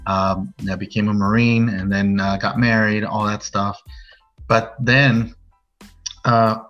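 Low-voiced, even-toned American man in his forties. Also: takes it slowly. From Norwalk, California, USA. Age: late 40s. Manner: rambling, frequent "you know". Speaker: podcast guest, DJ. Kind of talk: personal storytelling in a casual interview. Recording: muffled lo-fi audio over music.

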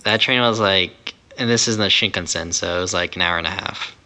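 A man's voice: low-pitched, brisk, sharp tone. A man chatting casually as a podcast co-host, giving his impressions in a review. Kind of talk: casual anime review chat.

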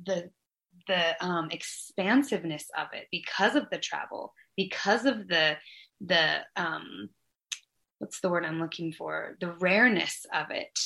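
A woman's voice: lowish voice, unhurried, vocal fry, sharp tone. A woman hosting a podcast interview, talking reflectively and searching for words, with spiritual language. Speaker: podcast host.

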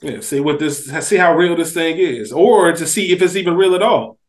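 A man's voice: fairly high pitch, animated, normal speed. A man co-hosting a podcast in casual chat, slangy and emphatic. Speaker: podcast co-host.

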